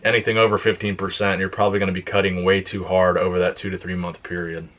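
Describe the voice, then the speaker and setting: A young man on a fitness channel, casually answering viewer questions in a plain, relaxed way. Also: deep voice, quick pace. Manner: relaxed, plain-spoken, reads questions aloud then answers. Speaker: fitness YouTuber. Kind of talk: casual Q and A vlog.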